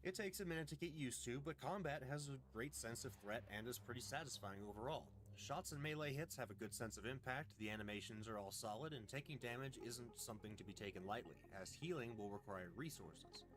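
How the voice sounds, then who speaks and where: A man reading a scripted game review in a measured, deliberate way. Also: medium pitch, noisy background. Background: music.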